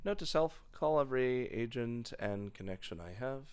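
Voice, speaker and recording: A man's voice: mid-range voice, lively intonation, normal speed, clear, resonant tone. A man co-hosting and engineering a film podcast, chatting casually. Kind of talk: casual chat.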